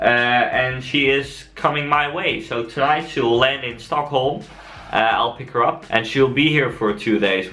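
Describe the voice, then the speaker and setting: A young Dutch man with a Dutch accent, answering viewer questions in a relaxed, chatty vlog. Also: mid-range voice. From Netherlands. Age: young adult. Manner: relaxed and chatty, frequent fillers. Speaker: cycle-touring vlogger. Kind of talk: casual vlog Q&A.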